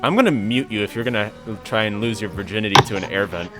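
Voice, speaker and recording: A man's voice: fairly low pitch, quick pace, loud music behind the voice, close to an everyday voice. A man playing a laid-back, wisecracking student gamer in a casual amateur audio drama. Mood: wry. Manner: casual, joking.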